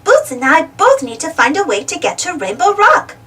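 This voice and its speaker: A woman's voice: very high-pitched, huge pitch swings, moderate pace, pushed and bright, distant mic, full character voice. A woman voicing a cheerful, eager little girl adventurer in a children's storybook, exclaiming and putting questions to the listener. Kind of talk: children's storybook read-aloud.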